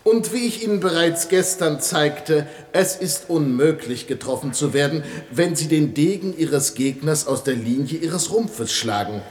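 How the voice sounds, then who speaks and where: Man playing a pompous, barking fencing master, high-voiced. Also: a little slow, hardly any pauses, lightly acted. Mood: pompous, self-satisfied. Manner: barking clipped commands, pompous. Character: boastful, hot-tempered male fencing master drilling a pupil. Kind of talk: 1960 German radio comedy acting. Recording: roomy, noisy old radio recording.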